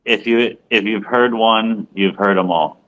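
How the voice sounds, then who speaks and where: A man's voice: lowish voice, measured pace, fairly bright tone, muffled recording. A man in casual chat, blunt and a little hesitant, swearing now and then.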